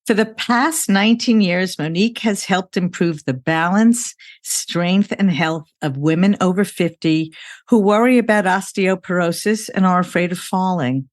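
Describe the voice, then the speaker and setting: Low-pitched woman hosting a podcast. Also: normal speed. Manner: friendly, encouraging. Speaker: podcast host, financial planner. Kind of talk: interview hosting.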